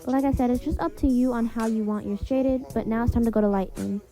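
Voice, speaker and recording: Higher-pitched woman chatting through a tutorial. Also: steady tone, fast. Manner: informal, chatty, addresses viewers directly. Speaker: tutorial host, content creator. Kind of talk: casual step-by-step digital art tutorial voiceover. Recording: soft music behind the voice. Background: background music.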